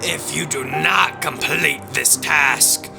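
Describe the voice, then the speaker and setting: A man playing a commanding demon in an audio drama, solemn and imperious, with a gravelly voice. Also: fairly high pitch, steady tone, takes it slowly, full character voice. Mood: stern, imperious. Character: commanding male demon granting youth at a price. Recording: voice under prominent background music. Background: music.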